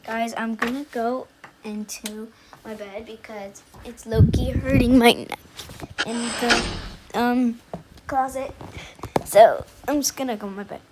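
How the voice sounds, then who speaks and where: A young woman with a fairly high voice improvises a roleplay voiceover. She speaks slowly and haltingly, muttering to herself as she goes. The recording is heavily noisy, as if made casually at home. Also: steady tone. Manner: unscripted, halting, with repeated self-talk. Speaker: video creator voicing a roleplay.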